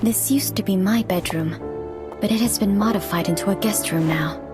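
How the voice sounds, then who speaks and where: A female actor plays a reserved young woman on a secret investigation in a game. She speaks deliberately and guardedly at an ordinary female pitch, with music clearly playing behind her. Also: close to an everyday voice. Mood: pensive, resolute. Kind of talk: scripted video game dialogue and monologue.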